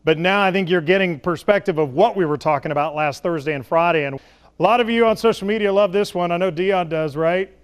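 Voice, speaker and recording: A man's voice: high voice, fairly quick, clear, resonant tone. A man giving a broadcast weather forecast as a TV meteorologist, explaining with folksy analogies.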